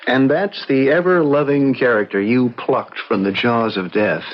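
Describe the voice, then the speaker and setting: A man's voice: mid-range voice, moderate pace, highs cut off, a little hiss or hum, close to an everyday voice. A man playing a wry, courteous doctor in old-time radio drama. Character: wry doctor, courteous male colleague of a surgeon.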